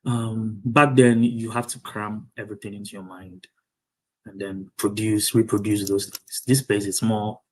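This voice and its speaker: Ghanaian man with a low voice. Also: little pitch movement, a little slow, darkish tone, low-quality recording. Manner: thoughtful, many ums and restarts. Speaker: guest, doctoral student. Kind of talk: casual interview over video call. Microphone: video call.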